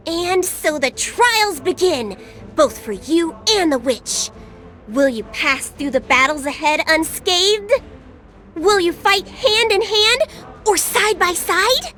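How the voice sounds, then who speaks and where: A woman's voice: very high-pitched, lively intonation, slow pace, sharp tone, faint music behind, exaggerated voice. A woman voicing a tiny, teasing fairy companion in a video game, playful and theatrical.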